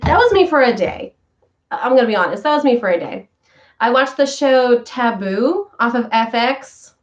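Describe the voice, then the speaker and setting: Rambly woman chatting to her viewers, kinda high voice, bit stuffy, dull lo-fi audio. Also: expressive, moderate pace. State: stuffy from sinus trouble. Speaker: vlog host, voice worker. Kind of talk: casual morning chat to viewers. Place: at home.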